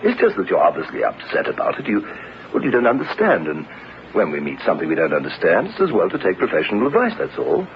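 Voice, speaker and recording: An actor playing a brisk, reassuring British husband in a radio drama, breezy and matter-of-fact. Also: male voice, sits low, wildly swooping pitch, full character voice. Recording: lo-fi radio recording, clear background noise.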